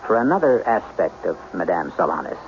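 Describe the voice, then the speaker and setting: A man's voice: low-pitched, very animated, brisk, a little hiss or hum. A man hosting and narrating a vintage radio mystery, theatrical and wry, speaking straight to the listener. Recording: muffled old radio recording with music behind.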